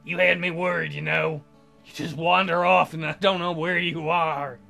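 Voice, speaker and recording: A man voicing a caring, practical young woman from a visual novel, gently scolding and teasing. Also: a little high, level tone, moderate pace, gravelly, soft background music, exaggerated voice.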